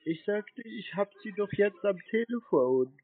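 A man in a casual phone conversation, acting naive and insistent, with a husky voice. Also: high voice, animated, unhurried, dry recording. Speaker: prankster taking scam calls. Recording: dry narrow-band phone audio.

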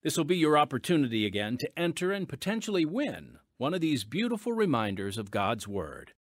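A man's voice: a little high, huge pitch swings, a little fast, dry recording, clean studio sound. An American man hosting a podcast with polished, theatrical scripted narration, speaking directly to the listener as a friend. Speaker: podcast host and announcer.

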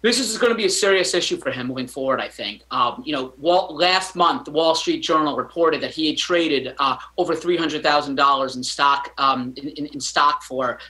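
A man's voice: a little high, normal speed, hardly any pauses, bright, forceful tone, rough recording. A man in his thirties, a physician, answering news interview questions over a video call from a home study, hedging with many ums and restarts. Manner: hesitant, many fillers and restarts. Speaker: physician guest commentator.

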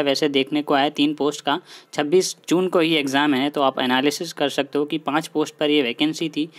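Higher-pitched man explaining updates. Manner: repetitive, mixes English words into his talk. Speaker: host of an exam news channel. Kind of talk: informal explainer, addressing viewers directly.

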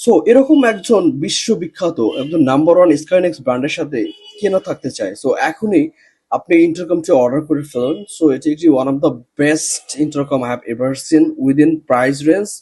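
A man's voice: on the high side, expressive, normal speed, mellow, husky, poor audio. A man with a Bangladeshi accent presenting a product in a promotional voice-over, mixing in English phrases. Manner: mixes English phrases into speech. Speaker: product presenter for a gadget seller. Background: background music.

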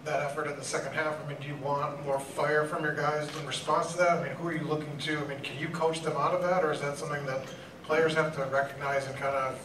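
Fast-talking reporter heard from afar. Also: male voice, on the high side, flat delivery. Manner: rambling question with restarts. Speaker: sports reporter. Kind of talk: press conference question. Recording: distant voice in echoey room with noise.

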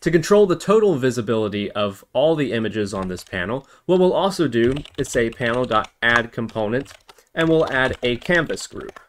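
Man, tutorial host, mid-pitched voice, lively intonation, step-by-step explanation, thinking aloud. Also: normal speed. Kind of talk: programming tutorial narration.

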